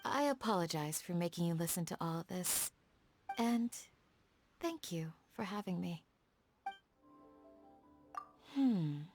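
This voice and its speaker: A woman voicing a gentle, dutiful young desert village guardian in a video game, speaking in a soft, measured, courteous way. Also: fairly low pitch, animated, faint music behind. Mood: warm, reflective. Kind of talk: scripted game dialogue, storytelling.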